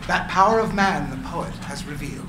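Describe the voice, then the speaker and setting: A man with a gravelly voice reading the stage directions aloud at a script reading into a stand mic. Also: a little high, fairly quick. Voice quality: gravelly from recording work. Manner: clear, expressive reading. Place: meeting room with audience. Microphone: stand microphone. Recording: echoey room, background noise.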